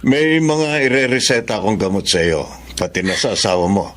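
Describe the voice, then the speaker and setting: A man playing a composed, matter-of-fact doctor in a radio drama, speaking in a measured, explanatory way. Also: fairly low pitch, lively intonation, a bit bright. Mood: calm, serious. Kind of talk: Tagalog radio drama acting.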